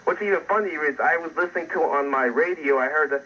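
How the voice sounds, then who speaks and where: Husky-voiced male radio caller. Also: on the high side, fast. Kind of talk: phone call to a radio show. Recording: dull phone line on radio.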